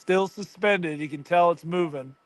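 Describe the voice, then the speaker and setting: A man's voice: high-pitched, moderate pace, dry recording. An American man hosting a casual livestream with enthusiastic, conversational live commentary. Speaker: livestream host.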